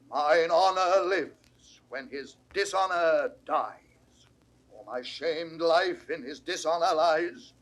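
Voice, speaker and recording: A man with a British accent playing a stern, unforgiving old duke in a classical Shakespearean audio drama, declaiming vehemently in a husky voice. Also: high-pitched, fairly bright tone, full character voice. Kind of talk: classical Shakespearean stage acting. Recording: vintage 1960s studio recording.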